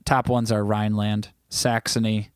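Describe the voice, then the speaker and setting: A man's voice: fairly low pitch, flat delivery, measured pace, clean tone. A man hosting a casual livestream, chatting loosely and teasing his viewers as he reads their comments aloud. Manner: rambling with frequent restarts. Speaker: livestream host.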